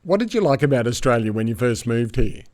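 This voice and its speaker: A man hosting a podcast interview, asking questions at an unhurried, measured pace. His voice sits at an ordinary middle pitch for a man. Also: very animated, darkish tone. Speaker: podcast host. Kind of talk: interviewing a guest.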